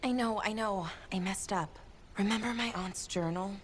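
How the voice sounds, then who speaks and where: A woman's voice: medium pitch, moderate pace, a little hiss or hum, lightly acted. A woman playing a spirited, cheeky young noblewoman adventurer in video game cutscene acting, with a breezy, impulsive, informal manner. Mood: sheepish, brisk.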